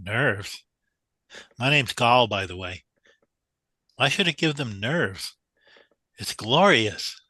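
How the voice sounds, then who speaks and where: A man's voice: mid-range voice, expressive, slow pace, long pauses, bright, forceful tone, no room sound, exaggerated voice. A man acting in a radio drama as a polite, earnest young mathematician, naive and hesitant. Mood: wide-eyed, curious. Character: polite, earnest young male mathematician new to the big city.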